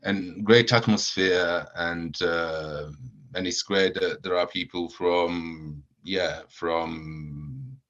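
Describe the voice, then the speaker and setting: Low-voiced man with many fillers, lo-fi. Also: fairly even intonation, drawn-out words, fairly bright tone. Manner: hesitant, many fillers and repeated words. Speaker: supporters club founder, guest. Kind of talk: casual podcast chat. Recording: dull lo-fi audio.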